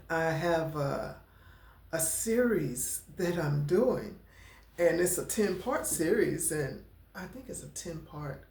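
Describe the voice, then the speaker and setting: A woman vlogger who talks slowly and haltingly, with a stutter and many fillers, and gets emotional at times. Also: deep voice, darkish tone, roomy sound. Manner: emotional, near tears at times. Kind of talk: personal talking-head vlog.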